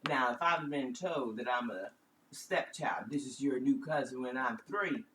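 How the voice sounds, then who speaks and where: A man's voice: mid-range voice, measured pace, bright, forceful tone, slight background noise. Blunt man, distant and echoey. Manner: blunt, emphatic. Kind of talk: casual chat.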